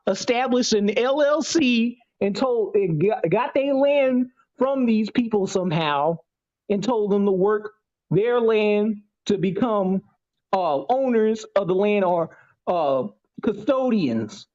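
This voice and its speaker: A man in his late twenties explaining his views in a halting, deliberate way as a guest in an online discussion. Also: high voice, lively intonation, darkish tone, dull audio. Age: 20s.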